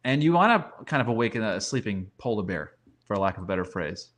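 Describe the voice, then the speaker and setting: A man's voice: lowish voice, big pitch swings, brisk, a bit bright. A man talking through sports analysis as a guest, in a conversational way.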